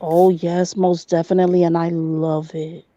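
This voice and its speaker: A woman's voice: on the low side, little pitch movement, moderate pace, mellow, no room sound. A woman chatting casually in a group voice call, laughing and bantering playfully. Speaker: chat participant. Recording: dull dry lo-fi mic.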